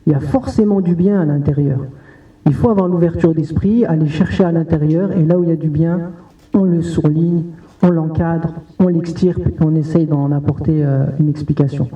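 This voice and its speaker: A man's voice: on the high side, fairly even intonation, normal speed, warm tone. A man on a conference panel, answering in a hesitant way with many restarts. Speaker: panel speaker. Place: conference hall. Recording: distant roomy hall sound, dull audio.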